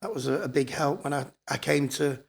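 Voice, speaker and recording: A man's voice: medium pitch, brisk, vocal fry, slightly rough. A man in his fifties with a northern English accent, reminiscing candidly and casually as a podcast guest, in colloquial storytelling style. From born in Scotland, grew up in Blackpool, northern England. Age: 50s.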